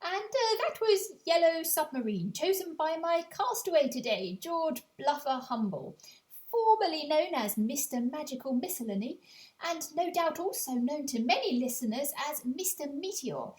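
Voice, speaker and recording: A woman voicing a genteel radio interviewer who hosts a music show, speaking in smooth, cheerful broadcaster's patter. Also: high voice, very animated, normal speed, a little mellow, pitched above the performer's own voice, low-quality recording. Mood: cheery.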